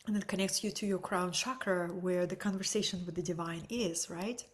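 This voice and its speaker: A woman's voice: lowish voice, fairly even intonation, normal speed. Russian-accented woman teaching a webinar. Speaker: energy healing teacher.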